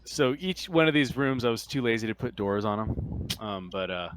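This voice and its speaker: A man acting as game master, narrating a tabletop roleplaying game in a casual, halting way. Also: medium pitch, expressive, clear, resonant tone.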